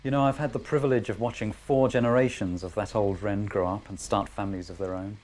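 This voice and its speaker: A man's voice: lowish voice, fairly even intonation, fast talker, a little mellow, natural voice. A man playing a courteous, nostalgic male village chief in an amateur audio drama, speaking with old-fashioned politeness. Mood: wistful. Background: music.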